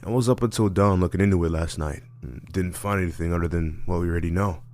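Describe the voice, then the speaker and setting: A man's voice: low-pitched, fast, darkish tone, lightly acted. A man playing a weary, self-assured investigator in an audio drama, laconic in delivery. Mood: weary, confident. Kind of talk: audio fiction acting.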